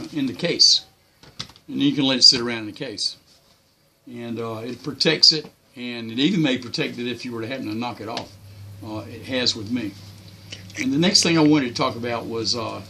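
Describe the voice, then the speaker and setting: An older man talks off the cuff like a hobby video host, folksy and plain-spoken. His voice is bright and sits at a middling pitch. He rambles casually, with clear noise behind him. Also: poor audio. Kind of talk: casual unscripted tips talk.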